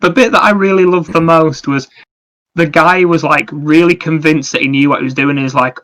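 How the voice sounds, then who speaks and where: A man's voice: fairly high pitch, fairly even intonation, brisk, fairly bright tone, poor audio. A man co-hosting a podcast, telling anecdotes and chatting casually in a wry, teasing way. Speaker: podcast co-host.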